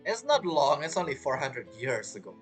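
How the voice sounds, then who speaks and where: A game streamer with a husky voice giving casual let's-play commentary. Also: medium pitch, big pitch swings, moderate pace, soft background music.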